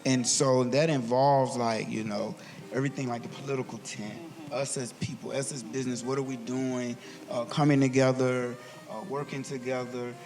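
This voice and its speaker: Male podcast host, animated, faint music underneath. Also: mid-range voice, normal speed. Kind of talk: live panel moderation. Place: theatre stage.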